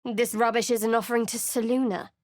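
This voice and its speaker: A woman's voice: on the high side, little pitch movement, fairly quick, dry recording, close to an everyday voice. An actress voicing a guarded, sardonic young female cleric in a video game, with a dry, sarcastic delivery. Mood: wry. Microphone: close studio mic.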